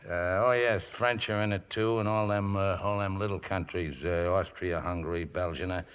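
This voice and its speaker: Man playing rough, folksy riverboat skipper, muffled old radio. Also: low-pitched, steady tone, moderate pace, close to an everyday voice. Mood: casual. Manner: folksy, hesitant, ungrammatical. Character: rough, easygoing middle-aged riverboat skipper, uneducated and sheepish. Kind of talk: 1950s radio drama acting. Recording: muffled vintage radio broadcast.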